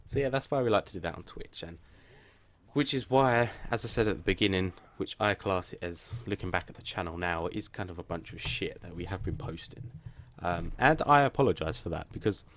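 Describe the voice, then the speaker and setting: Casual gaming-channel host, male, lowish flat voice, hesitant and self-deprecating, rambling delivery. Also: brisk, a little hiss or hum. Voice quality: flat, plain voice. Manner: rambling and hesitant, many restarts. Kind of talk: casual channel update to viewers.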